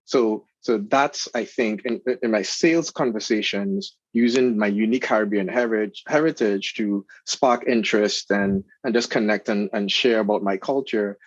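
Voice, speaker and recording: Trinidadian man, low voice, dry and slightly dull. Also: normal speed, hardly any pauses, dry recording. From Trinidad and Tobago. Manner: relaxed, friendly, talkative, frequent fillers. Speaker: guest. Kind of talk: casual interview conversation.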